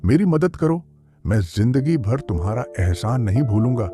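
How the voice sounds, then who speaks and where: A man's voice: medium pitch, big pitch swings, normal speed, lightly acted. A man voicing a badly wounded tiger in a cartoon story, pleading dramatically for help. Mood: pained, desperate. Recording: loud music bed. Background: loud music.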